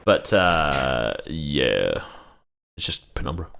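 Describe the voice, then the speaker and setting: A man's voice: a bass voice, sing-song, takes it slowly, vocal fry, fairly bright tone. A man giving casual commentary over a game, rambling with fillers and swearing freely. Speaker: gaming streamer.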